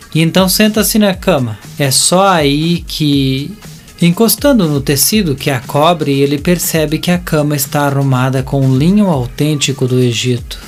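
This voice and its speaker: A man with a Brazilian accent reading scripted story narration for an audio drama. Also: on the high side.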